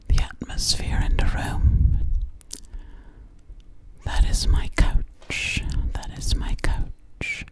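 A young woman playing a sweet, slightly sassy alien girl in a soothing ASMR roleplay, whispering breathily close to the microphone and repeating her words. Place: small dim living room, indoors. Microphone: close studio microphone with foam cover, binaural.

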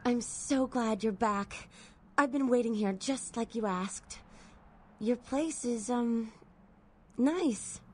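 Slow-spoken, needy young woman. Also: on the high side, slight background noise. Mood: eager, adoring. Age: young adult. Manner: hesitant, pleading. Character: needy, devoted young female college student, naive. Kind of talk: video game voice acting.

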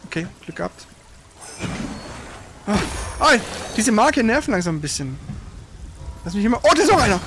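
High-pitched man commenting on a game. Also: big pitch swings, normal speed, pauses often, background noise. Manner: colloquial, exclaims at the game. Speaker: gamer, let's play host. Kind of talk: casual gaming commentary while playing.

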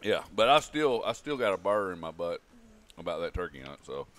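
A man's voice: low voice, big pitch swings, brisk, fairly bright tone. A man, a podcast guest trading casual, joking banter. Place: large sporting goods store, indoors.

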